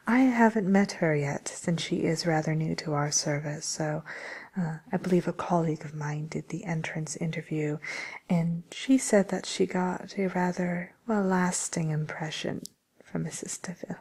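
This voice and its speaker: A woman playing a polite, tactful matchmaker for villains in a whispered ASMR role play, speaking breathily with gentle, hesitant pauses. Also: on the low side, fairly even intonation, slightly rough. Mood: tactful, gently amused.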